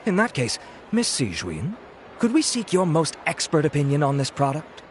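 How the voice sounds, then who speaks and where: An actor playing a suave, self-assured male researcher pitching a product in a polished, theatrical video game performance. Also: wildly swooping pitch, moderate pace, background noise. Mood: gracious, eager. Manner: theatrical, self-satisfied, polished salesman delivery. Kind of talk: video game voice acting, promotional speech.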